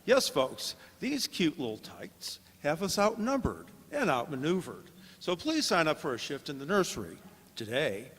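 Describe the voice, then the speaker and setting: Man playing a husky, swooping radio announcer. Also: fairly high pitch, very animated, normal speed, fairly bright tone, heavily acted. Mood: mock urgent. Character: harried comic radio announcer reading a sponsor message. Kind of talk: old-time radio announcer ad parody. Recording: echoey hall, distant sound.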